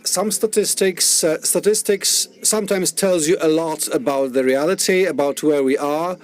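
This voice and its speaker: A man's voice: a little high, moderate pace. A man doing simultaneous interpretation at a conference, often restarting and rephrasing as he renders the speaker's words.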